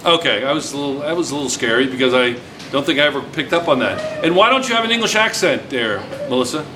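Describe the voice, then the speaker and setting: A man's voice: mid-range voice, animated, fast talker, over music. A man teaching a class, chatty and teasing, repeating his students' answers back to them. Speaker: physics teacher. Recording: noisy classroom recording.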